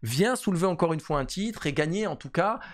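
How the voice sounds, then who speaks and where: Fast, filler-heavy French gaming YouTuber. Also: male voice, a little high, animated. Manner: talks fast with many fillers and repeats. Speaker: esports YouTuber. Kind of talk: casual esports commentary to camera. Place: home streaming room. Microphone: desk mic on boom arm.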